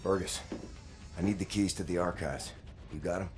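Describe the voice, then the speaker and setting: A man's voice: sits low, steady tone, moderate pace, heavy background noise, natural voice. An actor playing a blunt, tired male soldier in a video game cutscene, speaking in short, clipped lines. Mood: terse.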